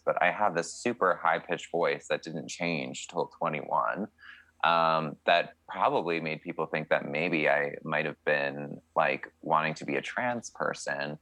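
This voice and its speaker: A man's voice: a bass voice, sharp tone, no room sound. A young man from Canada, a country singer, answering interview questions in a chatty, candid way with many fillers.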